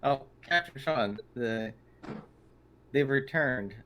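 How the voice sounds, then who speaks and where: A man's voice: medium pitch, a bit bright. A man voicing a formal, coolly inquisitive aide in an improvised tabletop role-playing game. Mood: formal report. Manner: formal, measured, deferential. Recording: faintly noisy online voice call.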